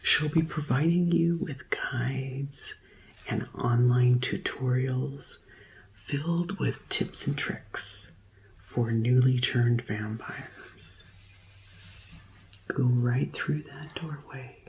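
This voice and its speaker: A woman's voice: extremely low pitch, gravelly, darkish tone, exaggerated voice. A woman playing a helpful vampire tour guide in a soothing ASMR roleplay whisper with a crackle in it. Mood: welcoming. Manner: slow, soothing customer-service patter. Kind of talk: soft-spoken ASMR roleplay.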